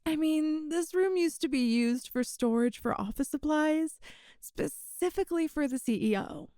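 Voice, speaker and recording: A mellow, high female voice, very close and dry, a woman performing a possessive boss in an intimate ASMR roleplay. She is coy and teasing, lingering over her words as she flirts with the employee she adores. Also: no room sound, lightly acted. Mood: coy persuasion. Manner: slow, coy, teasing, breathy pauses.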